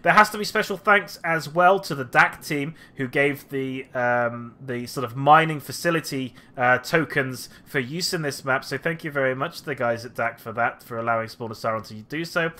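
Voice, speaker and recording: A man's voice: medium pitch, normal speed, few pauses, pushed and bright. A man commentating a strategy game battle replay, slightly nasal from a cold, thinking aloud with frequent fillers.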